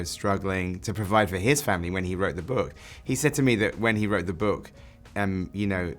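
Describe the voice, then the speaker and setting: A film director talks through his answers in an interview, circling back and restarting as he thinks. His voice sits very low, and a little music plays faintly behind him. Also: a bit bright. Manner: thoughtful, restarts and reframes mid-sentence.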